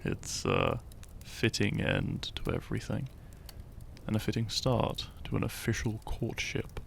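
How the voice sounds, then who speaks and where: A man playing a shy, tender young hero in love in a romantic audio roleplay, speaking gently and intimately. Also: fairly low pitch, huge pitch swings, creaky, a little hiss or hum, heavily acted. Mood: sleepy, content. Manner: slow, gentle, intimate, halting.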